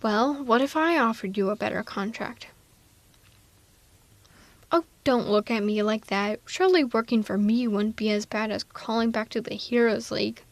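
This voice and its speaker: A woman's voice: on the high side, moderate pace, no echo, close to an everyday voice. A woman playing a sardonic but protective villainess in an intimate ASMR audio roleplay, coaxing one listener in a wry, persuasive way. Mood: persuasive, concerned.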